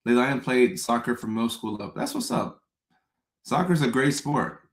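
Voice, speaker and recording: A man's voice: medium pitch, animated, moderate pace. A man from Ghana, a comedian, chatting casually with live-stream viewers, greeting them by name and often repeating himself. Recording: echoey room.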